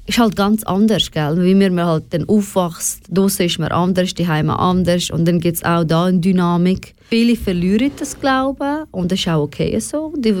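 A young woman with a Swiss German accent speaks as an interview guest. Her voice is slightly low and heard without any room sound. She is candid and reflective, slipping English slang into personal talk. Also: normal speed, few pauses, darkish tone, no room sound. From Switzerland, of Afghan family. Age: young adult.

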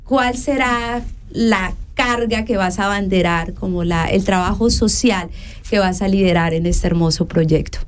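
A woman's voice: mid-range voice, a little slow. A woman hosting a talk show, introducing guests with effusive praise. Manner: effusive, flattering. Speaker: show host.

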